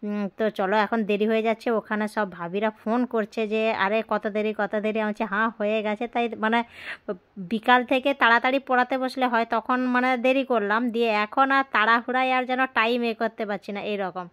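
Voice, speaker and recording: A woman's voice: a little high, steady tone, fast talker, fairly bright tone, clear, resonant tone. A young woman, chatting casually in a rambling way as she records a daily vlog. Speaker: vlogger.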